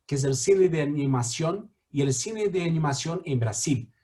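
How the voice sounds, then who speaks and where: A man with a Brazilian Portuguese accent moderates an online talk in Spanish, his voice pitched a little high. He stumbles and restarts often, filling pauses with soft sounds as he introduces the guest over a video call. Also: animated. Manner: halting, many fillers and restarts. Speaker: mediator.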